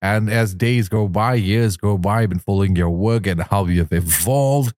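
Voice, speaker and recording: Male talk host, lowish pitch, dry close sound, effusive and conversational. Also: normal speed, a little mellow, dry recording. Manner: effusive, complimentary. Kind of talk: casual interview chat.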